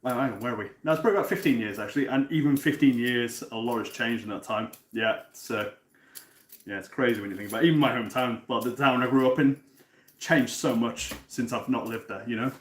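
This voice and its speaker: A man in his thirties from North Wales talks loosely to his viewers as he cooks, at an ordinary middle pitch. He keeps stumbling into repeated words and restarts, with the odd casual swear. His voice bounces around an echoey home kitchen. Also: fast talker. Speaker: cooking stream host. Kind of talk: casual live-stream chat, answering viewers.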